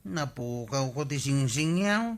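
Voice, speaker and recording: A man's voice: medium pitch, expressive, slow. An actor playing a hapless, lovelorn, apologetic village man with an Ilocano accent and a whiny, pleading drawl, in a radio comedy drama. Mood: sheepish.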